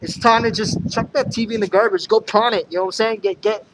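A man's voice: sits high, fairly even intonation, quick pace, background noise. A man, a musician, speaking earnestly at an outdoor vigil, often asking "you know what I'm saying?". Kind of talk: impassioned street speech. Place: outdoor square in front of city hall.

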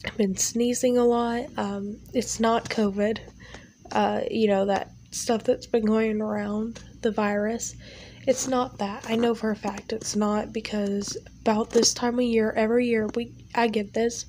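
A woman's voice: on the high side, level tone, unhurried, a little mellow, slight background noise. A young woman with a stuffy, nasal voice talks casually over her art, rambling with many fillers. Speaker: art channel creator. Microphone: home recording setup.

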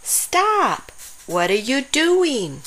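A woman's voice: high voice, wildly swooping pitch, very slow, fairly bright tone, voice pushed up, full character voice. A woman voicing a small, bossy, earnest dinosaur in a children's storybook, emphatic and commanding. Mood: bossy, alarmed. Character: small bossy bespectacled dinosaur, earnest about being tough.

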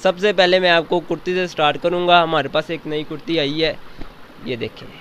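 A young man, a student and shop owner's son, earnestly pitching fabrics and guarantees into a handheld mic in a cloth warehouse. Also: fairly even intonation, a little fast, fairly bright tone, slight background noise. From Pakistan. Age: young adult. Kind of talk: product pitch. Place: indoors, small cloth warehouse.

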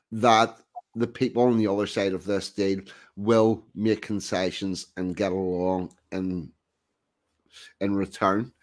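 A man hosting a casual online video call chat, talking bluntly and emphatically. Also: on the low side. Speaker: host. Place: indoor room.

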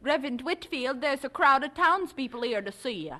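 An actress playing a blunt, money-minded innkeeper with rough colloquial speech in a radio drama. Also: female voice, high voice, lively intonation, fairly quick, sharp tone.

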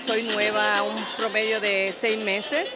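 A woman's voice: medium pitch, little pitch movement, slow pace. A woman from Puerto Rico with a Puerto Rican accent, a new artisan speaking heartfelt into a handheld mic at an event. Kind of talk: event interview. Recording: clear music behind.

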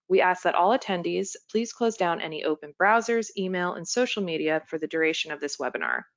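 A woman moderates a webinar, reading the housekeeping notes and speaker introductions in a formal, scripted way. Her voice is on the lower side. The sound is dry and slightly muffled. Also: no room sound. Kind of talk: scripted announcement.

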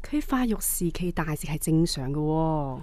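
A woman's voice: low voice, expressive, moderate pace. A woman playing a devoted middle-aged wife and mother in a radio drama. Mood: relaxed. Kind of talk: radio drama acting and narration. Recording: music behind the voice. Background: soft guitar music.

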